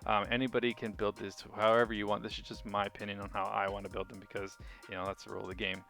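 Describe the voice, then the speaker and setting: A man's voice: on the low side, level tone, fast, fairly bright tone. A man giving a casual game walkthrough commentary, informal and enthusiastic like a hobbyist sharing a setup. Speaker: gaming video creator. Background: music.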